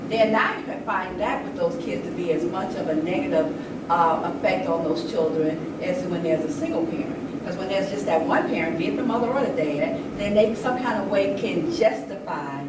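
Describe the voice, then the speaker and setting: A woman's voice: on the low side, moderate pace. A woman hosting a TV panel discussion, direct and ready to interject. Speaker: host and moderator. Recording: echoey room, distant mic, loud noise.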